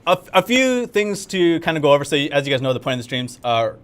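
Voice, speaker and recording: A young man co-hosting a live game event stream, upbeat, talkative and easily excited. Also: fairly high pitch, fast, smooth. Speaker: game community manager. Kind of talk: casual livestream co-hosting. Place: studio in Korea.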